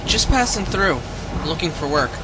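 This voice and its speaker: An actor playing a tired drifter looking for work, speaking hesitantly in a radio play. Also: male voice, sits high, animated, normal speed, very noisy. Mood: weary.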